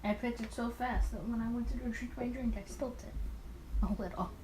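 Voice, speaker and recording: A woman's voice: a little high, steady tone, a little fast, warm tone, a little hiss or hum, low-quality recording. A woman doing a casual let's-play of a visual novel, gushing in informal asides. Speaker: video game player reading a visual novel aloud.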